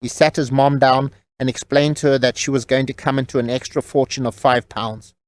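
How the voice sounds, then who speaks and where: A man's voice: mid-range voice, flat delivery, a little fast, no room sound. A man reading scripted novel narration as the storyteller of an audio drama.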